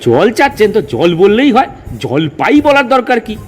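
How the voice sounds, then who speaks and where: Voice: mid-range voice, very animated, normal speed, slight background noise, close to an everyday voice. A performer in a comic audio drama playing cantankerous, boastful village men who quibble pedantically and argue emphatically. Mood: indignant, scolding. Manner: argumentative, emphatic, rambling lists.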